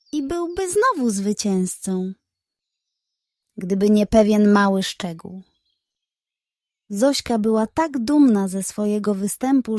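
Unhurried, high-voiced woman telling children's stories. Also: no echo, studio quality. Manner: expressive, deliberate storytelling. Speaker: children's story narrator. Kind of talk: scripted animal tale narration for children.

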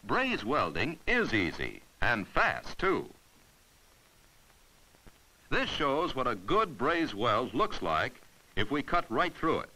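A man narrating an old instructional film in a bright, forceful voice that rises and falls with lively pitch. He explains each step patiently and clearly, like a 1950s classroom reel. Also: takes it slowly, long pauses, rough recording. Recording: 1950s educational film soundtrack.

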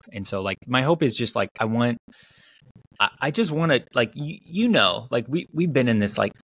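A man's voice: mid-range voice, a bit bright, no room sound. A man in his thirties, a guest author in a casual video-call conversation, talking animatedly with many restarts and fillers. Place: small home room on video call. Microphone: video call mic.

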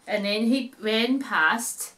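Bright, high-voiced New woman storyteller. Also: slow pace. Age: middle-aged. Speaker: children's storyteller. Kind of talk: animated children's storytime narration. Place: living room, seated in an armchair.

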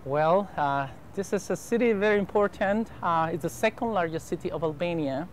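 Salvadoran man in his 30s, Spanish-accented English, earnest pastor being interviewed, lapel mic outdoors, faint noise. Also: high-pitched. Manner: earnest, careful English with filler pauses. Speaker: pastor and mission president. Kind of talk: interview answers. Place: outdoor rooftop terrace over a harbor.

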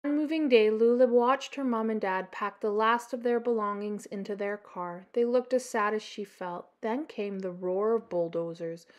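A middle-aged woman reading a children's story aloud in an expressive storytelling style. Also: mid-range voice, moderate pace, hardly any pauses. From Canada.

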